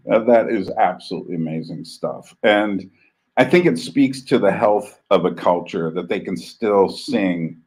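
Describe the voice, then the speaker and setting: A man's voice: fairly low pitch, expressive, measured pace, a little mellow. A man from the United States talking casually on a live stream, rambling with many fillers, restarts and chuckles. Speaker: channel host.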